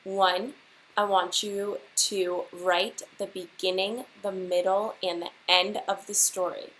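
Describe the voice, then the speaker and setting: Teacher lady reading a kids book out loud, slow and expressive, talks right to the kids, normal pitch. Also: slight background noise, rough recording. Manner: deliberate, expressive, addresses children directly. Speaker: teacher reading aloud to a class. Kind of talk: expressive children's storybook read-aloud with questions to listeners.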